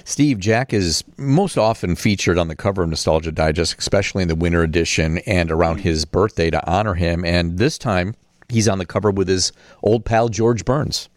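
A man's voice: sits low, normal speed, no echo, studio quality. A man hosting a conversational radio interview. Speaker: radio host.

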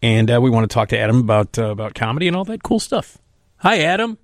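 A man's voice: medium pitch, very animated, fast, dry recording. Male radio host from Chicago, close dry studio mic, chatty and stammering. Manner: chatty, stammering with repeated words. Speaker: radio talk show host. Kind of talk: radio interview.

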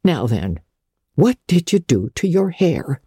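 A woman voicing a stern older spinster guardian who scolds briskly and sarcastically. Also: sits low, very animated, warm tone, husky, dry recording, studio quality, voice pushed up, heavily acted. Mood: scolding. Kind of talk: audiobook character reading.

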